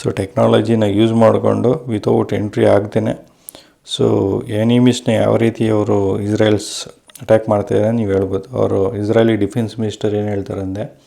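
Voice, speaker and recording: A man's voice: on the low side, little pitch movement, moderate pace, creaky, dark tone. A man from India presents an informal news explainer, mixing in English terms. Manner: colloquial, mixes in English terms. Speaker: video presenter.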